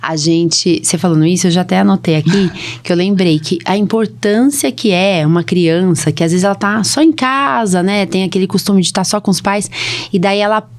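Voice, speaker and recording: A woman's voice: mid-range voice, normal speed. A woman with a Brazilian accent, a doctor hosting a casual podcast interview, who often checks in with tag questions. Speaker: podcast host, allergist doctor.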